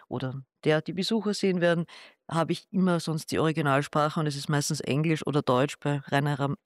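A man's voice: a little high, no room sound. Hesitant man, dry close sound. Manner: thoughtful, hesitant, with restarts. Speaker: artist showing his exhibition. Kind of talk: gallery interview.

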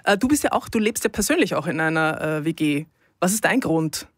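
A woman's voice: sits low, animated, fairly quick, no echo, clean studio sound. A woman hosting an informal live radio talk, searching for words as she goes. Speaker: radio host.

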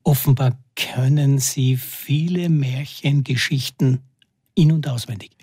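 A man narrating a feature and interviewing a guest in a measured, calm way. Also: medium pitch, breathy edge, dry recording, clean studio sound.

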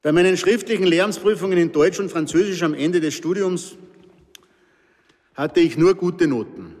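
Older austrian guy reading his memoir, dry calm telling, bit high voice, long reverb. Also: normal speed. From Pinzgau, Austria. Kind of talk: scripted narration.

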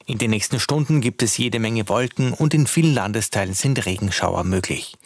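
A man's voice: lowish voice, vocal fry, no room sound, studio quality. A man with an Austrian accent reading a scripted weather forecast on the radio. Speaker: weather presenter.

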